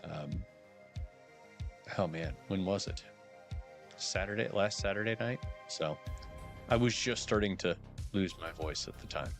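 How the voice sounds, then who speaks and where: A slightly hoarse man casually commenting while playing a game on a live stream, thinking aloud with wry asides. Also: deep voice, unhurried, long pauses. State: a little hoarse. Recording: background music under voice.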